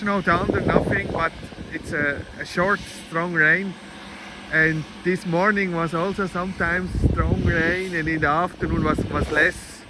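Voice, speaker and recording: Man, slightly high voice, casual livestream host at an airfield, loud jet engines behind. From Switzerland. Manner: chatty, non-native phrasing, repeats words. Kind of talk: casual live-stream chat, reading viewer comments. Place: outdoors at an airport. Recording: loud outdoor airport engine noise. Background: aircraft engine noise.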